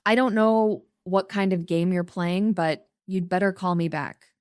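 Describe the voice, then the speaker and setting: An angry middle-aged father who growls threats and roars at a locked door, played by a woman narrator. The voice is smooth and dry, with nothing of the room behind it. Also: on the low side, steady tone, unhurried, no echo, close to an everyday voice. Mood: threatening.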